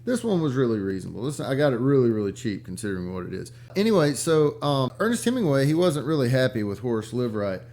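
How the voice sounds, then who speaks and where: A man's voice: medium pitch, very animated, fast, hardly any pauses, darkish tone. Irreverent man telling stories, casually rambling. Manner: irreverent, profane, slangy. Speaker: vlog host and book collector. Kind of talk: casual rambling storytelling about rare books.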